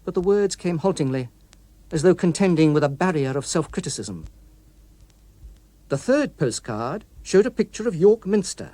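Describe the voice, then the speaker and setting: A man narrating an audiobook story in a precise, expressive, literary manner, recorded in a studio. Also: a little high, normal speed, dry recording. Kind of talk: scripted dramatic story narration.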